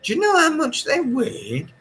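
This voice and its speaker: A man's voice: extremely high pitch, huge pitch swings, unhurried, pushed and bright, a little hiss or hum, pitched above the performer's own voice, full character voice. A man voicing a chatty, nosy woman in her sixties, a gushing neighbour in a story who draws out her exclamations. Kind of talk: audiobook character voice.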